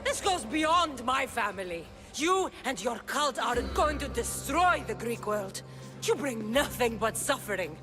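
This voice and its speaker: A woman's voice: fairly high pitch, sing-song, unhurried, over music. A woman playing a fierce, defiant young mercenary in a video game, blunt and intense. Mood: accusing. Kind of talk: dramatic video game voice acting.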